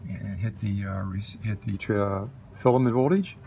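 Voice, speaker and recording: A man casually demonstrating a vintage radio in a halting, unhurried way, as a collector explaining his set. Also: lowish voice, steady tone, creaky, very noisy. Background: vintage radio playing music and broadcasts.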